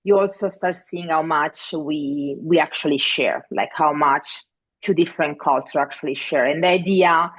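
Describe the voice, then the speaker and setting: Low-voiced Italian-accented woman. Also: normal speed, breathy edge, low-quality recording. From Italy. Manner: hesitant, many fillers and restarts. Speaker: guest. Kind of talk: casual interview talk.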